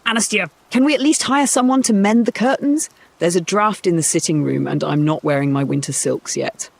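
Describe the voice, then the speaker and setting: A man's voice: sits high, a little fast, gravelly. A man voicing spoiled, whining young noble stepsisters, petulant and theatrical, in a storybook. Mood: complaining.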